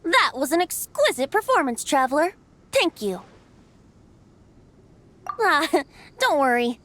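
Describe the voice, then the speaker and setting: A woman's voice: high-pitched, very animated, takes it slowly, fairly bright tone, exaggerated voice. A woman voicing a youthful male wind god bard in a video game, playful yet earnest, with theatrical, poetic delivery. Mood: light, teasing. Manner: lyrical, theatrical, poetic phrasing. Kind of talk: scripted video game voice acting. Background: game music.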